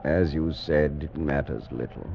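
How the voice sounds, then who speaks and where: Brooding haunted nobleman, gravelly and low, hiss behind it, old radio play. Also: exaggerated voice. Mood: weary. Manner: slow, hollow and brooding. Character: haunted, gloomy aristocrat, a grown man. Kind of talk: old-time radio acting. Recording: muffled old radio recording.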